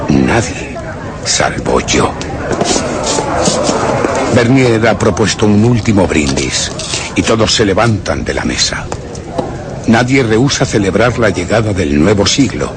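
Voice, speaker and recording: A man's voice: sits low, background noise. An actor playing an embittered, vengeful Frenchman who secretly plots murder, delivering a brooding, theatrical, contemptuous monologue in a radio play. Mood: contemptuous, watchful, then dazed, isolated. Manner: brooding, theatrical, deliberate storytelling with contemptuous edge. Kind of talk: dramatic first-person radio play monologue.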